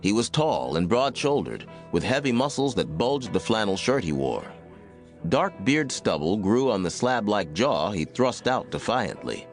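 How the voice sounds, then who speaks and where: American guy reading a western audiobook, lowish voice, very expressive, soft music behind. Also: unhurried. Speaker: audiobook narrator. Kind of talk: scripted Western story narration.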